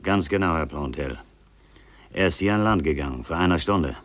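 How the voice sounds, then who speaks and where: An actor playing a kindly, gruff middle-aged ship's captain in a 1950s German radio drama, musing and hesitant. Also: male voice, extremely low pitch, normal speed, no echo. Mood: matter-of-fact. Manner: hesitant, musing, repeats himself.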